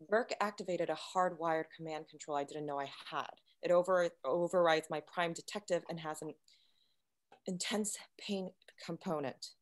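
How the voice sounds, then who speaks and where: A woman playing a composed, secretive android science officer, measured and matter-of-fact, in a sci-fi table read. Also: lowish voice, close to an everyday voice. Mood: defensive, controlled.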